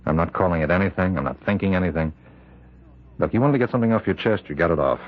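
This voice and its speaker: An actor playing a skeptical prison warden, brusque and no-nonsense, in an old-time radio drama. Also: male voice, low voice, lively intonation, fast talker. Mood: dismissive, impatient. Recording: dull, band-limited radio recording.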